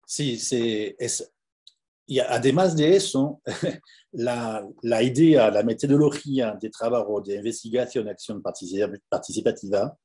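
French guy on a video call, mellow and dark, keeps stumbling and repeating words. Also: medium pitch. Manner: halting, many repeated words and fillers. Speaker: conference presenter. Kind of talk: academic Q&A over video call.

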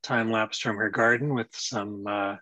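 A man's voice: fairly low pitch, steady tone, a little slow, sharp tone, no room sound. A man giving casual commentary on shared images at an online astronomy meeting, speaking over a video call. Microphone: video call microphone.

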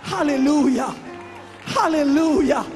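A man's voice: very high-pitched, unhurried, darkish tone, raised voice. A man preaching and praying in a fervent, declamatory charismatic style, repeating phrases for emphasis. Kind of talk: fervent charismatic sermon and prayer. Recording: live worship service with music and crowd. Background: music and congregation.

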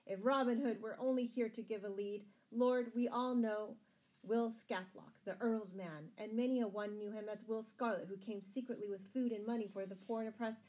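A woman's voice: on the high side, steady tone, normal speed, mellow, pitched above the performer's own voice, close to an everyday voice, low-quality recording. A woman voicing an earnest, loyal male farmer in a storybook, rustic and emphatic. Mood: admiring.

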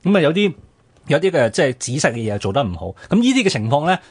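A man's voice: fairly high pitch, big pitch swings, fast talker, husky. A man with a Hong Kong Cantonese accent explaining history in colloquial talk as a radio co-host.

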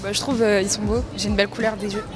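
A woman's voice: mid-range voice, level tone, fast, over music. A young woman in her twenties giving short, giggly answers in a casual street interview, speaking into a handheld mic. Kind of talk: casual chat. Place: city street outdoors.

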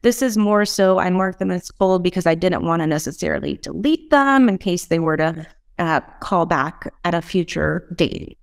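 Woman, thirties, crisp clear voice, dry close recording, instructional walkthrough, explanatory. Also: on the low side, animated, normal speed, dry recording. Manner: explanatory, checks in with "right?" and "okay?". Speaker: software trainer in customer support. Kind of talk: instructional screen-share walkthrough. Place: indoor room.

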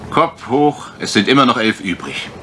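A man playing a dry-humoured, teasing gentleman detective in a German radio crime drama. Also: medium pitch, sing-song, normal speed. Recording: noisy old radio play recording.

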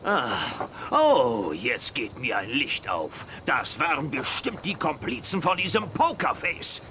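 An actor playing a flustered, blustering army general in an audio drama, exclaiming and stammering. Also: male voice, mid-range voice, big pitch swings, takes it slowly. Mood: alarmed. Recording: roomy, with faint noise.

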